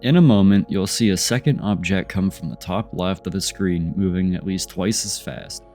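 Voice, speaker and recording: Deep-voiced congested man narrating. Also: normal speed, darkish tone, faint music behind. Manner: skeptical, poses rhetorical questions. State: congested, nasal from a cold. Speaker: channel host narrating UFO footage. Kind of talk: voice-over commentary on video clips.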